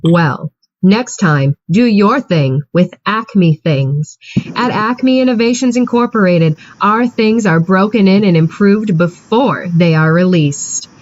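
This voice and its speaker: An actress playing an upbeat pitchwoman reading a mock radio commercial. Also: slow, no echo, lo-fi sound, natural voice. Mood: selling. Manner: salesy, upbeat. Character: brisk female commercial pitchwoman.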